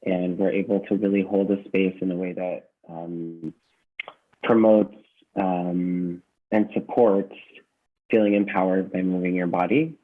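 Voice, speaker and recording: Male interview guest, fairly low pitch, reflective and sincere, feeling for words, tinny call-quality audio. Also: steady tone. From San Francisco Bay Area, United States. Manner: earnest, thoughtful, halting with repeated words. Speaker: gym founder, interview guest. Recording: muffled phone-like call audio.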